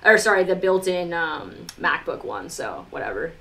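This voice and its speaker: Lowish voiced girl streamer just rambling to chat, super informal, says like constantly. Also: very animated, normal speed, a bit bright. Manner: informal, rambling, heavy use of "like", mild swearing. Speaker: live streamer, music producer. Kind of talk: casual chat with live stream viewers.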